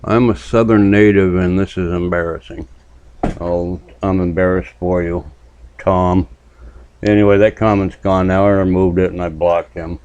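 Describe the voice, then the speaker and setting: Man from New Hampshire, deep voice, sardonic commentary host, muffled dry recording. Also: flat delivery, a little mellow, clean tone, dry recording. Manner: dry, sardonic, drawn-out remarks. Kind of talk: casual reaction commentary.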